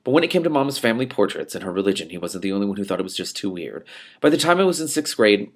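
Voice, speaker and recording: Low-pitched, creaky man reading a novel aloud. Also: rapid-fire. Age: adult. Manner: animated, reacts aloud to the text and breaks off to comment. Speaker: reader and commentator of a book read-along show. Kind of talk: expressive read-aloud of a novel with spontaneous asides.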